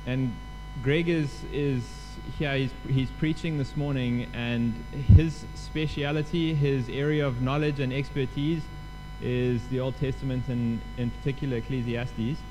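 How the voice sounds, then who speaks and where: A chatty, self-deprecating man with a South African accent welcomes a congregation and introduces a guest speaker. His voice sits at a middling pitch, and a mains hum and clear music run under the recording. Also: steady tone, unhurried, hardly any pauses, rough recording. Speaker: church leader introducing the guest preacher. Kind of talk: casual church welcome.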